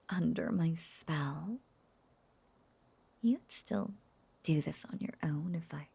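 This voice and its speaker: An unhinged lovesick witch in an intimate audio roleplay, slow and clingy. Her pitch swoops widely as she coos and slips into whispers, with a creaky edge to the voice. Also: dark tone, heavily acted. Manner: cooing, clingy, breathy, often dropping into whispers. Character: obsessive lovesick young witch doting on her captive beloved.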